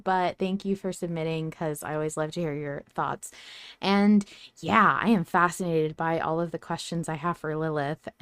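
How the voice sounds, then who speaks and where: Low-voiced woman hosting a casual podcast. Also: no room sound. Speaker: podcast host.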